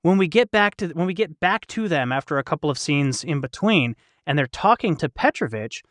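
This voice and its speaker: A man's voice: a little high, expressive, fairly quick, a bit bright, no room sound, studio quality. A man in a casual panel discussion, thinking aloud as he analyzes and explains. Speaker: cast member in a behind-the-scenes discussion.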